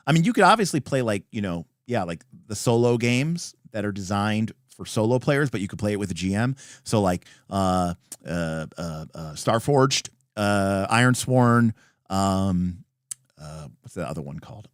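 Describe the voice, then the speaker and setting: A man hosts a casual livestream with very lively, swinging intonation. He rambles and thinks aloud, then lands his opinions with emphasis. The recording is close and dry, with little sense of a room around him. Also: lowish voice, moderate pace, dry recording. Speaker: livestream host. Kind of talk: casual unscripted livestream chat; reading and answering viewer comments.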